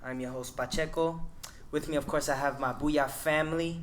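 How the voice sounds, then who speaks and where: A man's voice: a little high, fairly even intonation, moderate pace, slight background noise. Laid-back podcast host man. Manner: loose, rambling, many restarts. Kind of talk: casual podcast chat.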